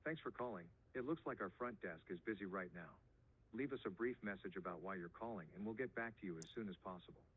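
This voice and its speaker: A man's voice: on the low side, fast talker, breathy edge. A man reading a scripted automated voicemail greeting over the telephone. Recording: tinny, narrow phone line.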